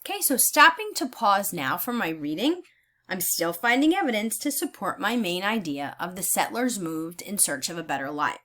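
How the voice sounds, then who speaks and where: Bright-voiced woman teaching with lively intonation. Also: medium pitch. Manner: instructional, deliberate phrasing. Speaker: teacher reading aloud. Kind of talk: read-aloud lesson with think-aloud comments.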